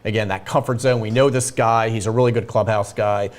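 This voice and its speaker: A man's voice: on the low side, fairly even intonation, a little fast. A man working as a team insider, chatting casually and thinking aloud with plenty of hedges. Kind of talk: casual analytical chat.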